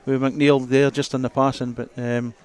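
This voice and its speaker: Even-toned rugby co-commentator. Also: male voice, medium pitch, normal speed. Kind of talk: conversational live sports analysis. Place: outdoors at a rugby ground.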